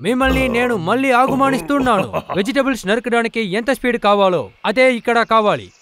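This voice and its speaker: A man's voice: very high-pitched, fast talker. A man voicing a cheeky animal in a dubbed children's cartoon, acting as a showy, enthusiastic commentator. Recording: loud music behind the voice.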